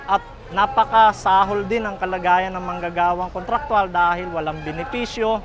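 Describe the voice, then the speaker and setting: A man's voice: high voice, fairly even intonation, moderate pace. A Filipino man, a factory worker and activist, answering in an interview.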